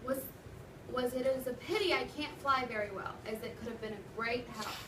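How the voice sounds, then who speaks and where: A woman's voice: a little high, normal speed, lightly acted. A woman voicing a plaintive, apologetic male toy duck in a storybook read to children. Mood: regretful. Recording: roomy, noisy classroom from far off.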